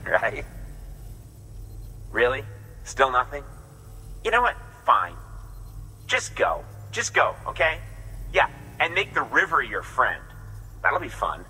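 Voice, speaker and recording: A man's voice: mid-range voice, very animated, slow, pauses often, bright, forceful tone, long reverb tail, slight background noise, full character voice. An actor playing a goofy, eccentric man in a video game cutscene, hammy and desperate for laughs, chuckling at his own puns. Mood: proud, playful, then needy, exasperated.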